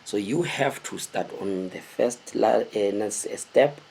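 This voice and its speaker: A South African man in his thirties tells a story casually to camera, animated and digressive, repeating words for emphasis in a husky voice. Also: on the low side, measured pace, slight background noise. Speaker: vlogger telling stories. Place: small indoor room with a cloth backdrop.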